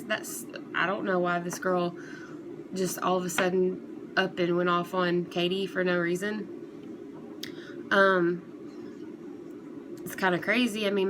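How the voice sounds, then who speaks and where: Hesitant woman over clear background noise. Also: fairly low pitch. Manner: hesitant, rambling, conversational. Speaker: vlogger giving commentary on online creators. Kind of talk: casual unscripted opinion commentary. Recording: clearly noisy background ambience.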